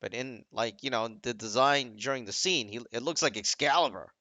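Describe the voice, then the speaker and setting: A man's voice: medium pitch, moderate pace, pushed and bright, no echo. A man giving casual, off-the-cuff commentary over a video game.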